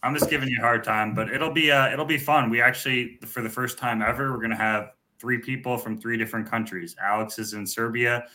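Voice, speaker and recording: A man's voice: mid-range voice, fairly even intonation, a little fast, hardly any pauses, bright, forceful tone, low-quality recording. A man from Minnesota hosting a casual podcast, easygoing and full of fillers. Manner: easygoing, filler-heavy, friendly teasing. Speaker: podcast host.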